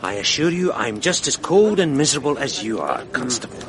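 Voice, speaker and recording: An actor playing a patient, dryly ironic police sergeant in a radio drama, measured and deadpan. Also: male voice, medium pitch, crowd noise, close to an everyday voice.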